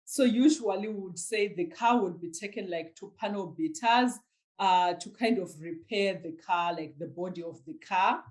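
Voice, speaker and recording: A woman's voice: mid-range voice, normal speed, low-quality recording. A woman from Zimbabwe with a Zimbabwean accent, recalling a story hesitantly with many restarts. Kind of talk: casual interview answer.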